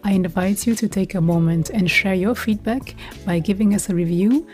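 A woman's voice: medium pitch, moderate pace, a little mellow, soft background music. A woman hosting a podcast, presenting in a composed scripted way and putting questions to guests. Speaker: podcast host.

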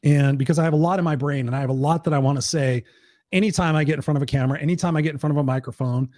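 Male live-stream host and life coach, hoarse, pitched a bit high, profane and rambling, dry sound. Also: fairly even intonation, very fast, darkish tone, no room sound. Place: home.

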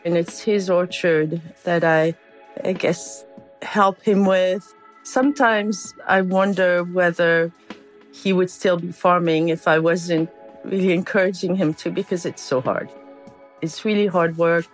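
A woman's voice: fairly low pitch, steady tone, a little slow, soft background music. A woman from France with a French accent, a farmer helping run an orchard, speaking frankly and reflectively in an interview. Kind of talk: casual interview talk.

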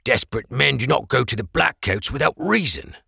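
A man voicing a frightened, pleading merchant locked in a cell, pushing his voice high and strained. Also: expressive, a little fast, vocal fry, pushed and bright, husky, no room sound, clean studio sound, full character voice. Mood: insistent.

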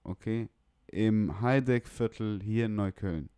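Deep-voiced young man with clear tone. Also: slow pace, dark tone, no room sound, clean studio sound. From northern Germany. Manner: slangy, opinionated, blunt. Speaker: music producer, podcast guest. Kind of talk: casual chat about music.

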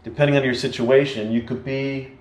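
An American man in casual conversation, telling stories in an animated way with frequent restarts. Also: mid-range voice, a little fast. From United States. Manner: storytelling, animated, many restarts. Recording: echoey room.

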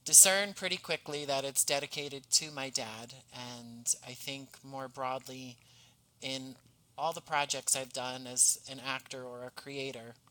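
A man's voice: medium pitch, steady tone, unhurried, sharp tone, rough recording. A man speaking as a podcast guest in a conversational interview, earnest and effusive in manner. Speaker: podcast guest, audio drama writer and actor.

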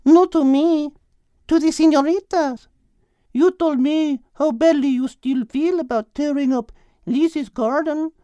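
A man's voice: very high voice, measured pace, dark tone, dry recording, voice pushed up. A man voicing a coaxing, motherly female cow with a Spanish accent in an audiobook story.

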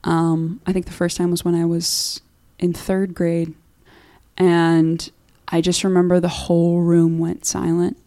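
Woman, young adult, from Minnesota, singer guest, casual chat, lower voice, lots of restarts. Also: monotone, a little slow, warm tone. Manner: chatty, restarts often, self-deprecating laughs. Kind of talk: casual studio interview.

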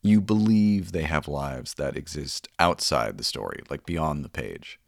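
A man with a very low voice talks as a host in a relaxed interview chat. The sound is very dry and close, with no room around it. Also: measured pace, vocal fry, dry recording. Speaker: podcast host and audio performer. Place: small closet home recording space. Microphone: close studio-style mic in a closet.

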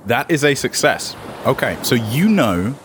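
Man, dungeon master, very lively intonation, jokey banter, off-the-cuff narration, slight background noise. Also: medium pitch. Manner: jokey, quick to laugh, animated. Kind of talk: unscripted tabletop game narration and banter.

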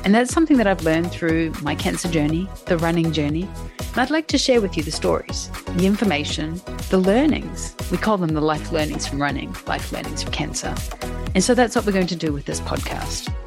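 A woman's voice: fairly low pitch, animated, fairly quick, over music. A woman in her forties hosting a podcast. She chats casually with her husband and laughs often. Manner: warm and chatty, laughs often. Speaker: podcast host.

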